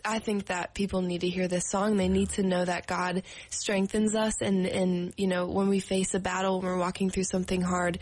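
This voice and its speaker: A bubbly, raspy-voiced young woman. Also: lowish voice, little pitch movement, moderate pace, hardly any pauses, a little mellow. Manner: bubbly, laughs often, acts out quotes. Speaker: singer guest. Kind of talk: casual radio interview.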